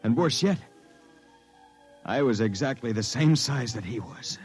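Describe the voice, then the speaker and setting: A man's voice: medium pitch, expressive, unhurried, faint music behind, lightly acted. An actor playing a wry, terse, hard-boiled man in a 1950s radio drama. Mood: grim narrating. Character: wisecracking, hard-boiled man snooping inside a sanitarium. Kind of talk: first-person narration and 1950s radio drama acting.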